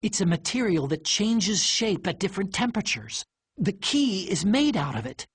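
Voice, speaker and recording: A man's voice: high voice, big pitch swings, moderate pace, husky, dry recording, heavily acted. A man playing an earnest, eager technician in a video game, patiently explaining things over a radio. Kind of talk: video game cutscene acting.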